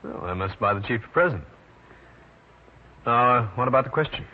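An actor playing a dry, self-assured private detective in an old-time radio detective drama. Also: male voice, medium pitch, expressive, moderate pace, close to an everyday voice. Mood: cool, dry. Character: wry, hard-boiled male New York private detective. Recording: dull, noisy vintage radio recording.

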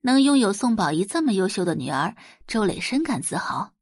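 A woman's voice: mid-range voice, very animated, brisk, husky, dry recording, studio quality. A woman narrating a scripted audiobook drama in a storytelling style.

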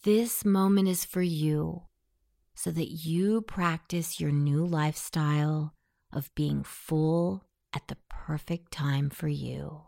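A woman guiding a scripted hypnosis meditation in a soothing, softly breathy delivery. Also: deep voice, fairly even intonation, slow, no echo, clean studio sound.

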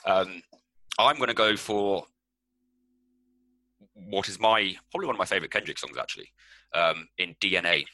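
A man's voice: low-pitched, moderate pace, long pauses, bright, forceful tone, no room sound, low-quality recording. A man co-hosting a casual music podcast, rambling and swearing with lots of ums. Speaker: podcast co-host.

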